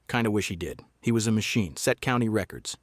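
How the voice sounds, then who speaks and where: An actor playing a guarded small-town sheriff in a noir audio drama, laconic, deadpan and measured. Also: male voice, fairly low pitch, dry recording, studio quality. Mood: terse, uneasy.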